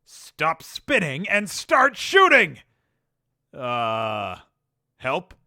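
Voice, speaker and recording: A man's voice: fairly high pitch, very slow, no room sound, clean studio sound, exaggerated voice. A man doing a bombastic, melodramatic army commander. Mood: commanding. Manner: melodramatic and theatrical, with big swings between calm and yelling. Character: bombastic male army commander barking orders. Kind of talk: comedic game-character voice lines.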